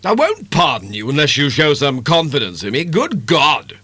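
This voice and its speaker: An arrogant young gentleman played by a man in a classic audiobook drama. He is haughty and insistent, with very animated pitch in a dry, close recording. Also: moderate pace, breathy edge, no echo, heavily acted. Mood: indignant, insistent.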